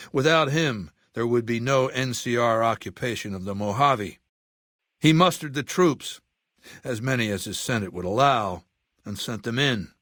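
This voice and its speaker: Ruthless dictator for a game. Erudite, measured, coldly imperious; mid-range voice, close dry sound. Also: male voice, mid-range voice, fairly bright tone, no echo. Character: ruthless, cultured warlord dictator in middle age. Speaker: video game villain. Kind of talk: dramatic game dialogue monologue.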